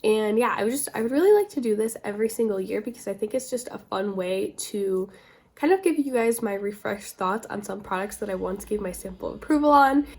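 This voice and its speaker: A woman's voice: mid-range voice, expressive, a little fast, a little mellow. A woman getting over a cold, chatting informally with rambling asides as the host of a casual beauty vlog. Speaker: beauty video creator, host.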